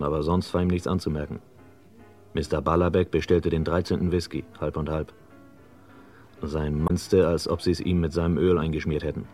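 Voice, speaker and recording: A man's voice: sits low, a little mellow, natural voice. An actor playing a wry, cool male newspaper reporter who narrates a vintage German crime radio play in dry, clipped sentences.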